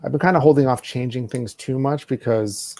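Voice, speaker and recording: A man's voice: mid-range voice, a little fast, darkish tone, no echo, clean studio sound. A man from Canada, talking casually as a guest in a podcast interview. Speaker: podcast guest, travel website creator.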